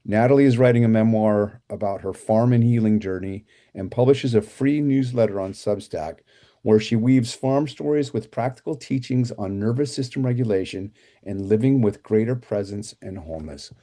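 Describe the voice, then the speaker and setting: A man's voice: lowish voice, moderate pace, dark tone. A middle-aged man hosting a casual interview show, rambling a little with fillers and repeated words. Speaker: podcast host.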